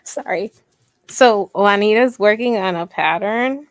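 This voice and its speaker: Soft-voiced, unhurried woman chatting casually. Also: medium pitch. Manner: rambling, playful, self-deprecating teasing. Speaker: host of a crochet livestream. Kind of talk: casual livestream chat, reading and answering viewer comments.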